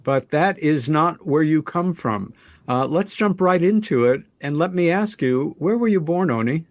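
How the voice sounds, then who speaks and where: A man hosting a talk show, speaking in a measured, deliberate, conversational way. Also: a little high, lively intonation, no room sound. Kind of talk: conversational show introduction and interviewing.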